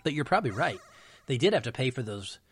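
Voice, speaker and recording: A man's voice: medium pitch, sing-song, quick pace. Opinionated man in casual talk over music. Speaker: podcast co-commentator on films. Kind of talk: casual film discussion.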